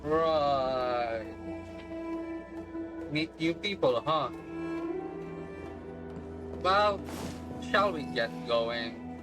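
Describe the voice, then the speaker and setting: A woman's voice: low-pitched, animated, extremely slow, pauses often, low-quality recording. A woman streamer giving casual game reaction commentary, with slangy, exclamatory reactions. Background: game music.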